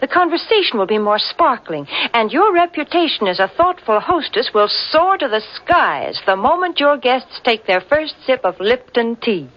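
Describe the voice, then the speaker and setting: A woman's voice: fairly high pitch, big pitch swings, moderate pace, hardly any pauses. A woman delivering a scripted 1940s radio commercial in a genteel, polished, persuasive manner. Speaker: radio sponsor announcer. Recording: dull vintage radio recording.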